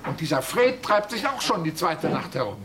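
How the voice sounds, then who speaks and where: Plaintive, animated, harried tour guide. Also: male voice, on the high side, moderate pace, background noise. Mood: plaintive, exasperated. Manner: complaining, put-upon. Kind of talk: radio drama acting. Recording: busy crowd behind.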